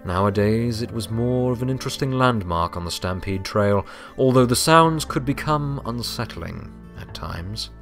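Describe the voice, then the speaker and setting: A man's voice: mid-range voice, expressive, normal speed, few pauses, fairly bright tone, soft background music. A man reading scripted story narration as the narrator.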